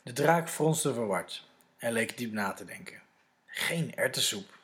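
A man's voice: medium pitch, expressive, a little slow, a bit bright. A man with a Netherlands Dutch accent, narrating a scripted story for children.

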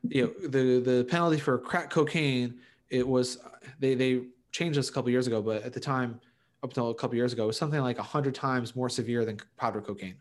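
This is a man with a mid-pitched voice, chatting casually as a podcast co-host. He is emphatic and opinionated. His explanations ramble, circling back as he makes his point. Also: steady tone, brisk.

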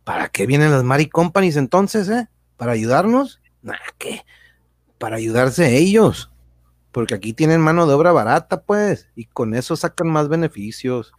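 A man's voice: on the high side, sing-song, moderate pace, no echo. Educational radio drama: indignant, folksy male explainer acted, colloquial, dry sound. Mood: explaining. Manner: colloquial, rhetorical questions, tag words.

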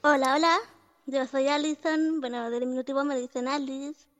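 A woman's voice: high-pitched, level tone, moderate pace, fairly bright tone, long reverb tail. A woman from Nicaragua introducing herself on a podcast in a bubbly way. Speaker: podcast contributor.

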